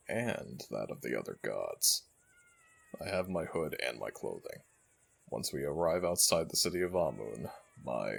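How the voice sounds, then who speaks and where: A man voicing an ancient jackal-headed god in an ASMR roleplay, gravelly and husky, speaking in stately, affectionate, gently teasing phrases to one listener. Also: very deep voice, full character voice. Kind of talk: ASMR roleplay audio drama.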